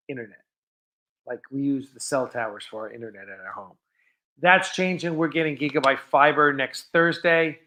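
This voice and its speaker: A man's voice: fairly high pitch, sharp tone. A man hosting a live stream, chatting casually and off script in a rambling, enthusiastic way.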